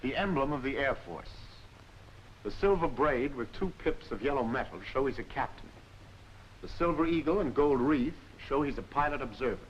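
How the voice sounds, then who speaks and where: A dull, faintly noisy old film soundtrack carries a firm army captain played by a man giving instruction. He is mid-pitched, matter-of-fact and precise, in 1940s training film style. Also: expressive, moderate pace, lightly acted. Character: brisk, no-nonsense male army captain instructor. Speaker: military instructor.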